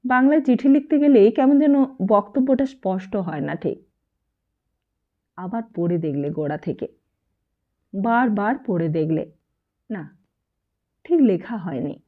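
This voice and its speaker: A woman reads a literary novel as an expressive audiobook storyteller, carrying the dialogue within her narration. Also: mid-range voice, measured pace, pauses often. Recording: muffled, narrow phone-like sound.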